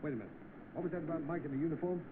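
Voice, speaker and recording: A man's voice: fairly high pitch, racing, narrow, tinny audio, close to an everyday voice. A man with a British accent playing a polite, proud chauffeur in an old film, speaking deferentially and hesitantly. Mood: anxious, apologetic. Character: polite, proud young chauffeur fallen on hard times. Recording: thin noisy old film soundtrack.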